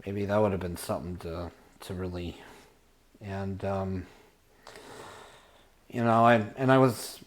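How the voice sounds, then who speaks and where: A man telling a rambling personal story casually, halting as he searches for words and trails off. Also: low-pitched, steady tone, slight background noise. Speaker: storyteller, show host.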